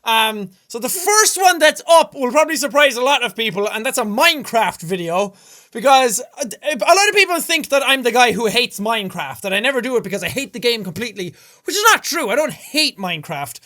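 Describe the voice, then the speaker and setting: An Irish man with a very high, bright voice, riffing through casual reaction commentary as a gaming creator. He bursts into loud exclamations and swears casually. His delivery is upbeat and punchy. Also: big pitch swings, brisk. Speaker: gaming YouTuber.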